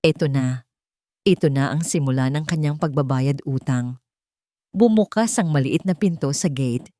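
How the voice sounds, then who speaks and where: A woman's voice: low-pitched, normal speed, mellow, no echo, studio quality. A woman reading a dramatic scripted story as its narrator.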